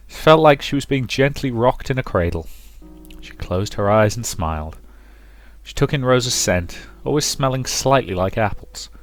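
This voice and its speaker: A man narrating a visual novel aloud in an expressive storytelling style as a let's player. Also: fairly low pitch.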